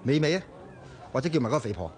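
A man's voice: medium pitch, very fast, natural voice. An actor playing an exasperated, bickering but easygoing young man in a radio drama, with a Hong Kong Cantonese accent. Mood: reluctant. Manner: bickering, animated. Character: exasperated, grumbling, easygoing young male buddy.

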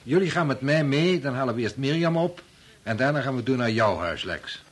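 A reasonable, slightly wry colleague in a radio play. His medium-pitched voice is husky, and he persuades in a practical, conversational manner. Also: expressive, fairly quick. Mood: persuasive. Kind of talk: Dutch radio play acting.

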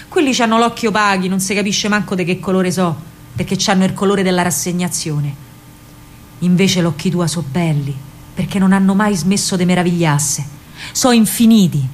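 A woman performs an older Roman man in a radio drama. He is an earnest, conspiratorial anti-fascist mentor. He speaks deliberately and gravely, exhorting with a thick Roman accent. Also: medium pitch, close to an everyday voice. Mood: grave, confiding. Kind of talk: theatrical monologue, radio drama.

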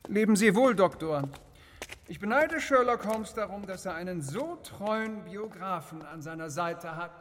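An actor playing a secretive, courteous and menacing crime lord in a radio drama, with measured, theatrical delivery. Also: male voice, sits high, lively intonation. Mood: solemn, courteous. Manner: measured, theatrical, dropping into whispers. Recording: very reverberant hall.

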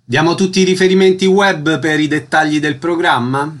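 Brisk, courteous radio host, a man. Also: fairly high pitch, steady tone, slight background noise. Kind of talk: radio interview hosting.

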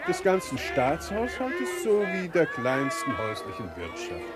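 A man's voice: high voice, wildly swooping pitch, unhurried, loud music behind the voice, full character voice. A man acting in a German radio play as a cautious, talkative older princely uncle, courtly and given to philosophising. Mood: courtly, reflective.

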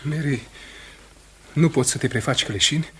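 An actor playing a blunt, desperate farmer denouncing his accusers in a radio drama, urgent and forceful. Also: fairly high pitch, lightly acted. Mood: urging. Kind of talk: theatrical radio drama acting.

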